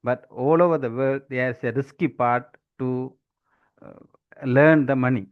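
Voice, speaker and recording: A Sri man in his forties giving a deliberate, halting impromptu speech with many fillers. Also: medium pitch, fairly even intonation, clean tone. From Sri Lanka. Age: 40s. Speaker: impromptu speaker. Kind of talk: impromptu table topics speech. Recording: dull lo-fi audio.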